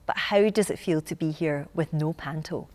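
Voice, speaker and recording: A woman's voice: sits low, normal speed, darkish tone. A woman working as a TV news reporter, narrating from a script and interviewing.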